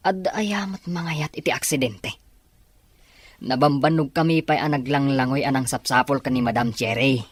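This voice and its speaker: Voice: mid-range voice, normal speed, husky, dry recording, natural voice. An actor playing a deferential henchman to a scheming boss in a scripted audio drama. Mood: evasive.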